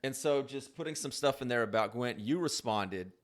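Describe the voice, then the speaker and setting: A man's voice: mid-range voice, lively intonation, normal speed. Easygoing man hosting a podcast. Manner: rambling with restarts, easygoing. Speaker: podcast host. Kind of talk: casual chat.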